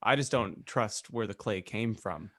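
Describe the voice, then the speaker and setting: A man's voice: fairly low pitch, measured pace, heavy background noise. A man co-hosting a podcast with casual, mock-serious comedic banter. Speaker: podcast co-host.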